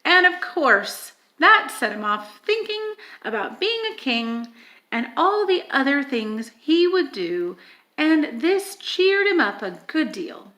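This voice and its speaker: A woman reading a children's story aloud in an expressive, dramatic manner, with emphatic stresses and hushed moments. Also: high voice, slow. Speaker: audiobook reader.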